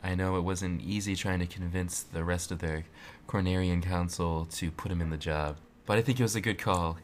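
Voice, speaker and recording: A young fox pilot in an audio drama, low-pitched and soft-spoken , as a man plays him. He hesitates and stammers, earnest and weighed down by guilt. There is a faint hiss under the voice. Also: steady tone, moderate pace, natural voice. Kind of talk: fan audio drama reading.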